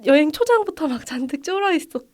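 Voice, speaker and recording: A woman's voice: sits high, sing-song, fast, a little mellow. A young woman from Incheon, co-hosting a podcast in casual chat and laughing often as she tells her stories. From Incheon, South Korea. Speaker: podcast co-host.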